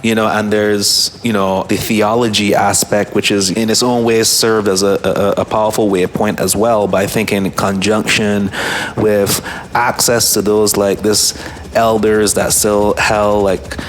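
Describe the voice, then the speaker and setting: A man from Barbados, a guest musician, talking in a relaxed, reflective way, halting with many restarts and fillers. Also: fairly low pitch, slight background noise. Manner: halting, full of restarts and "you know, like".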